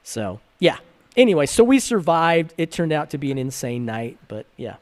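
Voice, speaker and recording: A middle-aged man with a fairly high voice, a podcast guest telling a story in a casual way and acting out the quotes. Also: moderate pace. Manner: animated, acts out quotes in a raised voice.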